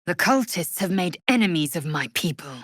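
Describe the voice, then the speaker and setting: A woman voicing a fierce, proud githyanki warrior woman in a video game, with a husky voice and clipped, imperious, formal speech. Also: on the low side, expressive, moderate pace, fairly bright tone, no room sound, studio quality. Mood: proud, zealous. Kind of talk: video game character voice acting.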